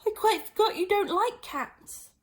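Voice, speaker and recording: A chatty little girl from a storybook, played by a woman reader, with a high voice. She is polite, curious and earnest, and her eager talk rises and falls freely. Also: expressive, unhurried. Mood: apologetic, soothing. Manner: eager, earnest, well-mannered.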